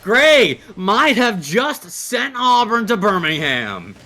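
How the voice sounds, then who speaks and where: Male esports caster, slightly high and forceful, for live match commentary. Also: very animated, slow pace. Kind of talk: live Rocket League play-by-play and banter.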